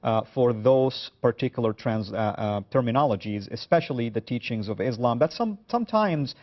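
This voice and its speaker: A male talk show host in a mid-pitched voice, often pausing on filler sounds as he works through his points. The recording sounds somewhat dull and lo-fi. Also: animated. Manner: hesitant, many "uh" fillers. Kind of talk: studio talk show discussion.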